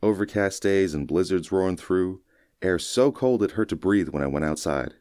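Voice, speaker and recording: A man with an extremely low voice narrates a short story in the first person. His delivery is measured and folksy, like scripted storytelling for an audiobook. The recording is dry and close, with no room sound. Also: darkish tone, no room sound.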